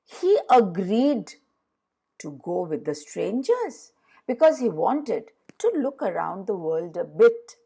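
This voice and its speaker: Woman telling kids a fairy tale, takes her time, pitch swings all over, talks right to the listener. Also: slow pace, gravelly, husky. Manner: expressive, addresses listeners directly. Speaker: storyteller for children. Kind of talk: animated narration of a fairy tale for children.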